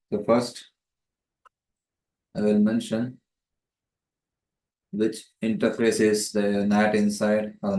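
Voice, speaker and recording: Monotone man tutor in an echoey room. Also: lowish voice. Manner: methodical, explanatory. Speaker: networking tutor. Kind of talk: step-by-step tutorial narration. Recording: roomy echo, distant microphone.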